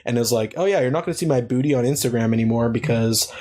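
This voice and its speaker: A man's voice: medium pitch, fast, darkish tone. A man hosting a podcast, chatting casually with a guest, joking and swearing a lot. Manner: profane, joking, rambling. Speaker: podcast host.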